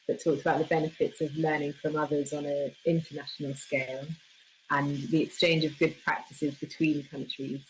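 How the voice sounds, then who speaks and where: Low, even-toned woman with faint noise. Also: poor audio. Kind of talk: semi-spontaneous summary.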